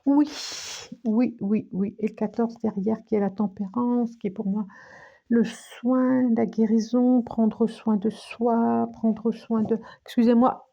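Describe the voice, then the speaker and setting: A woman with a cold giving a tarot reading, speaking slowly with many restarts and repetitions, sometimes dropping to a near-whisper. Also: fairly high pitch, steady tone, gravelly, dull audio. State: has a cold, coughing. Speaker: tarot card reader.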